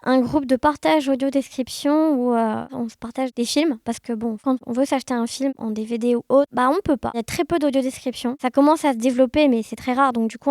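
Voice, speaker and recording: A woman podcast guest giving casual, personal testimony in an enthusiastic, expressive way. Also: high-pitched, dry recording, studio quality.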